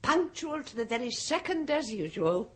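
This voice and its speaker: A woman's voice: fairly high pitch, big pitch swings, normal speed, fairly bright tone, slightly rough, full character voice. An actress playing a timid, flustered genteel woman assistant in an old radio play, hesitant and stammering. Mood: fluttery welcome. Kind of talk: British radio comedy-mystery acting.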